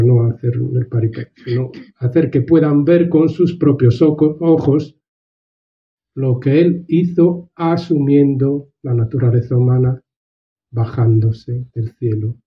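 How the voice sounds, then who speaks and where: Man, book presenter: measured and earnest, reflective delivery; middle pitch; dull, lo-fi sound. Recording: dull lo-fi recording.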